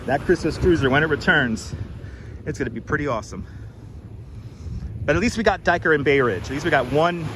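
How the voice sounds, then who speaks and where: A man hosting a relaxed walking livestream outdoors, chatting easily and greeting viewers by name. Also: a little high, a little fast, pauses often. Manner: easygoing, greets viewers by name, chuckles often. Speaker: livestream host. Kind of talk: casual walking livestream chat. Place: outdoors on a windy beach boardwalk. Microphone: wireless clip-on mic. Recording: wind noise on outdoor mic. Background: wind and crashing waves.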